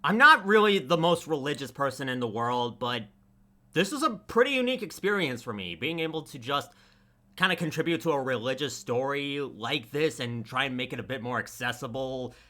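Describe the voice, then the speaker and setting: A man's voice: medium pitch, very animated, normal speed, bright, forceful tone. A man talking casually as an audio editor looking back on his work. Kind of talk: casual retrospective discussion.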